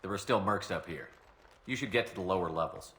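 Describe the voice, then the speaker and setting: An actor playing a steady, confident military commander in a video game, direct and calm with dry wit. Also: male voice, low voice, fairly quick, roomy sound. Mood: businesslike.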